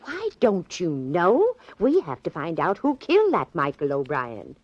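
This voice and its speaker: Eccentric bossy old lady in a 40s radio play, very lively pitch, dull old recording. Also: measured pace, breathy edge, no echo, exaggerated voice. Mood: bossy, pleased. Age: older. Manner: prim and chatty, eagerly insistent. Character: spirited, eccentric elderly small-town Midwestern lady, bossy amateur sleuth. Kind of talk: 1940s radio drama acting.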